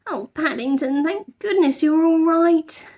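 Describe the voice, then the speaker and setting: A high, animated young girl from a storybook, played by a woman, bossy and caring. She speaks urgently, in exclamations that swoop up and down. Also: measured pace. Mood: relieved.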